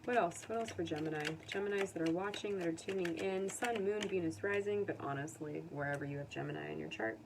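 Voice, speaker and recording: An American woman giving a casual, unscripted tarot reading to viewers, conversational and musing, pausing to think aloud. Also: lowish voice, gravelly. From United States. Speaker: tarot reader. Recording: distant mic with faint background noise.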